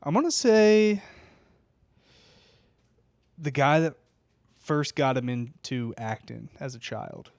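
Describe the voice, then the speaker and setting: A man's voice: medium pitch, big pitch swings, slow pace, vocal fry, long pauses, a little mellow, dry recording, studio quality. A man co-hosting a casual comedic podcast, blunt and crude with opinionated jokes. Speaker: podcast co-host reacting to the story.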